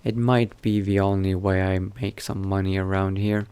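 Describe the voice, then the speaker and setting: A man playing a newly turned vampire mage in a game roleplay, musing to himself in a halting, politely understated way. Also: low-pitched, little pitch movement, a little mellow, smooth, natural voice. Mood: thoughtful. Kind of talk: in-character video game roleplay narration.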